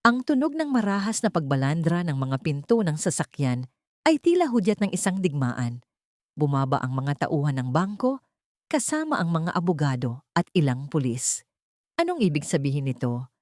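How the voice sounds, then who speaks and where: A woman with a Filipino accent narrating a dramatic scripted story in an expressive, theatrical manner. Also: deep voice, moderate pace, no room sound, studio quality.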